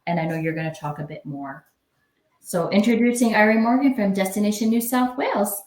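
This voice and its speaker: A woman's voice: medium pitch, brisk, darkish tone, echoey room. A woman hosting a webinar, introducing the next speaker in a chatty, apologetic way, correcting herself as she goes. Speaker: webinar host.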